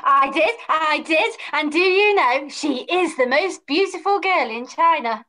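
A woman's voice: high-pitched, expressive, unhurried, bright, forceful tone, full character voice. A woman playing an eager, lovestruck young boy hero, reading earnestly in an amateur pantomime rehearsal on a video call. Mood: eager, smitten. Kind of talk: amateur pantomime read-through. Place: small room, on a video call.

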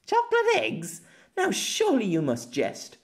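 A man plays a playful, old-fashioned young lady in an audiobook story. The voice is lifted high and swoops about. She is wide-eyed and teasing, with quaint formal turns of phrase. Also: huge pitch swings, unhurried, vocal fry, full character voice. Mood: puzzled.

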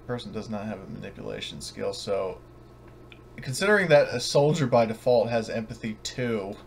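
A man narrating an online tabletop roleplaying game as game master, sometimes halting, over voice chat. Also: lowish voice, lively intonation, creaky, slight background noise.

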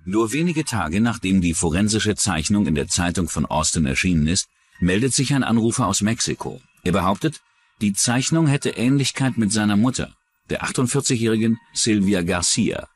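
A man's voice: on the low side, animated, normal speed, a little hiss or hum. A man narrating a scripted true-crime documentary.

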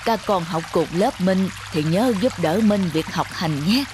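A woman playing an elderly, caring grandmother in a cartoon, gently coaxing. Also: fairly low pitch, expressive, unhurried, noisy background. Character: elderly, caring, self-sacrificing grandmother street vendor. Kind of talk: cartoon voice acting. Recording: music behind the voice.

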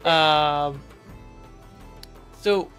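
A woman's voice: low-pitched, extremely slow, fairly bright tone, faint music behind. A woman hosting a casual, conversational interview about tabletop gaming. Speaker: host interviewing a player.

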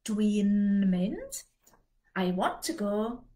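A South Welsh woman in her sixties teaching an online language lesson from home, patiently repeating phrases slowly for learners. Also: medium pitch, sing-song, dark tone. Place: home dining room with dresser. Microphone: laptop webcam video call.

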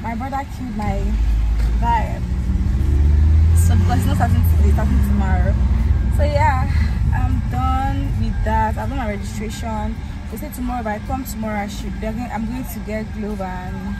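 A woman's voice: fairly high pitch, lively intonation, moderate pace, heavy background noise. A young Nigerian woman vlogging from a car on a bumpy road, chatty and self-mocking, talking into a phone held at arm's length. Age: 20s. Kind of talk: casual chatty vlog to camera. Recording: distant phone audio under loud background music.

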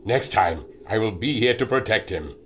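An actor playing an excitable, protective inventor in an audio drama. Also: male voice, on the low side, moderate pace, a little hiss or hum, natural voice. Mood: regretful, gruff. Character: excitable German inventor, protective of his robot. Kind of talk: radio adventure drama acting.

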